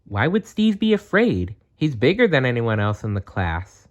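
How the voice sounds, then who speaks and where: 남자가 연기하는 높고 생기 있는 남자아이. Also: male voice, mid-range voice, wildly swooping pitch, moderate pace, highs cut off, pitched above the performer's own voice. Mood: puzzled, indignant. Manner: earnest, exclaiming. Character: earnest schoolboy, puzzled but brave and friendly. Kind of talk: storybook read-aloud.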